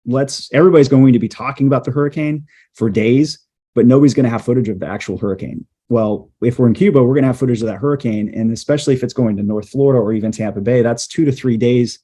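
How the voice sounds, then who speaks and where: A man, a storm chaser and journalist, telling a story in a casual interview, animated and full of fillers. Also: medium pitch, husky. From USA. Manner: animated, hesitant fillers, quickens at times.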